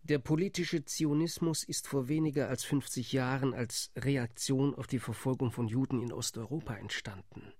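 An actor playing a determined delegation member in a radio play, laying out plans in a firm tone. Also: male voice, medium pitch, fairly even intonation, normal speed, hardly any pauses, a little mellow, no echo, clean studio sound, close to an everyday voice.

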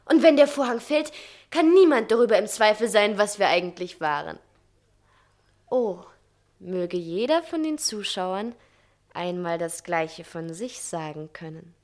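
A woman playing a gentle, consoling young heroine in a 1960 German radio play, speaking with earnest, lyrical tenderness. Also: mid-range voice, lively intonation, a little slow, lightly acted. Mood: tender, solemn.

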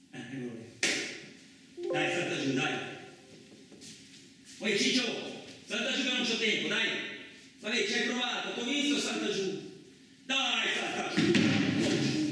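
An actor playing a rough, rambling male truck driver in a colloquial radio theatre monologue, with an earthy, agitated manner. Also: a little high. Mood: agitated, impatient. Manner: earthy, agitated, rhetorical tags, swearing. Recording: echoey distant room, faint noise.

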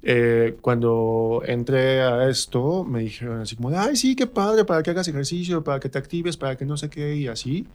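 A man's voice: medium pitch, moderate pace, hardly any pauses. A man with a central Mexican accent, telling personal stories casually as a guest in a radio interview, acting out remembered remarks as he goes. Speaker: interview guest, stage actor.

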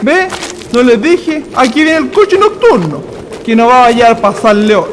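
Man playing a high-voiced Chilean farm labourer, reverberant. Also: lively intonation, a little slow. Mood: chatty. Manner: colloquial, rustic. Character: chatty, folksy rural farm labourer, male. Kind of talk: radio drama acting. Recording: reverberant, slightly noisy radio drama.

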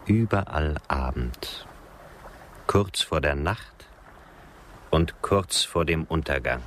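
A weary, melancholy frontiersman in a radio drama. His low voice broods over his words. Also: expressive, slow pace, fairly bright tone, a little hiss or hum, heavily acted. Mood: gloomy, poetic. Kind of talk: German radio drama acting.

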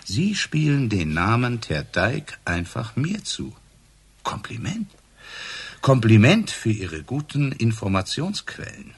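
An actor playing a hard-drinking, sardonic police inspector in a radio crime drama, accusing and probing with sarcasm and theatrical pauses. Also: male voice, medium pitch, very animated, husky, heavily acted.

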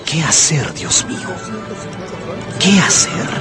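A man's voice: a little high, sing-song, slow pace. An actor playing an anxious, tempted gambler who narrates his own story in a radio drama. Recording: loud music and crowd behind the voice.

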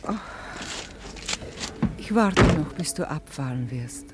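A woman's voice: low-pitched, lively intonation, takes it slowly, heavy background noise. An actress playing an anxious older mother who frets and pleads with her son. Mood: worried. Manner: fretful, pleading.

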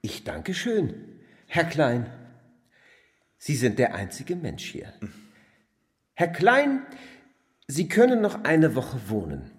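An actor playing a polite, generous but insistent lame landlord, speaking formally in a radio play. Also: male voice, a little high, huge pitch swings, takes it slowly, pauses often, exaggerated voice. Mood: apologetic. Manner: formal, courteous.

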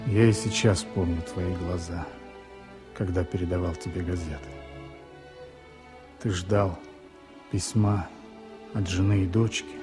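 An actor playing a loyal, tender frontline journalist, earnest and measured, in the style of a 1970s Soviet radio drama. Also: male voice, sits low. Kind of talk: 1970s Soviet radio play acting and narration.

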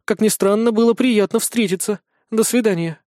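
Fantasy audiobook role: composed, commanding young aristocratic lady read by a man, high register, close dry sound. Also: moderate pace, darkish tone, no echo, lightly acted. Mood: composed, courteous. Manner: commanding, formal, persuasive. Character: imperious young noblewoman, composed schemer.

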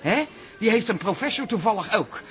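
A woman's voice: mid-range voice, lively intonation, normal speed, natural voice. A woman plays a touchy middle-aged auntie in a radio comedy. She is flustered and defensive, and her voice swoops widely as she gets huffy. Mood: offended. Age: 50s. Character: Tante Ali, flustered touchy middle-aged toilet attendant. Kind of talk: radio comedy drama acting.

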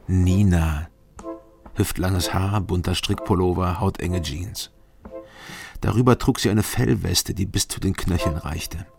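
A guarded, probing male investigator in a radio crime drama. He is terse and low-key, with a gravelly edge to his voice. Music plays behind him. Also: measured pace. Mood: reflective, matter-of-fact.

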